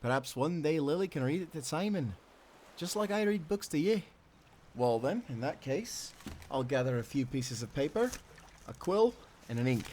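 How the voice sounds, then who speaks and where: An actor playing a devoted, playful sailor husband with a Scottish accent, speaking tenderly in old-fashioned seafaring language for a romantic audio roleplay. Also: male voice, on the high side, slight background noise. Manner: tender, teasing, given to soft chuckles.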